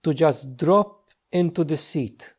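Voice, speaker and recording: A man leading a guided meditation as a teacher, speaking gently and slowly with long pauses. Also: fairly high pitch, husky. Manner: slow, hushed, gentle delivery with long pauses.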